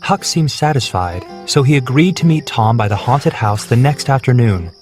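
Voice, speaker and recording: Man, storybook narrator, steady reading, mid pitch, music behind. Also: normal speed. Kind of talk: scripted children's story narration. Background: background music.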